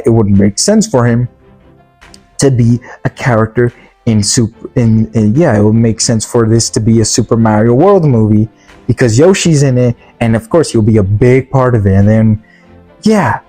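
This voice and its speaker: A reviewer giving a casual, opinionated movie rant in an exasperated, halting way, often repeating words. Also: mid-range voice, mellow. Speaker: video reviewer.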